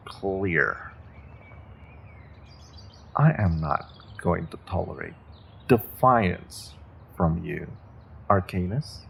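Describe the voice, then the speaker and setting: A man's voice: deep voice, creaky, breathy edge, heavily acted. A man playing a menacing, condescending evil sorcerer in a fantasy audio roleplay, speaking to a captive with theatrical deliberation. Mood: stern, threatening. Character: menacing, controlled evil male sorcerer, captor taunting prisoner. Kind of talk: scripted fantasy audio roleplay, intimate second-person monologue.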